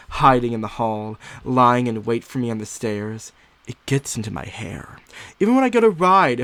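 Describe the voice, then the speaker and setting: A man's voice: mid-range voice, creaky, fairly bright tone, no echo. Man playing a secretive, obsessed woman, swooping expressively. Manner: theatrical, confiding, with drawn-out emphatic words. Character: unsettled, secretive 19th-century woman diarist growing obsessed. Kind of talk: dramatic first-person monologue, audio theatre.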